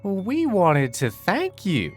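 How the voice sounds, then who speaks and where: A man voicing a young girl in a dark fairy tale, earnest and cunning, speaking in careful phrases. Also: sits high, wildly swooping pitch, slow pace, pitched above the performer's own voice, heavily acted. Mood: vengeful. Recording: music behind the voice.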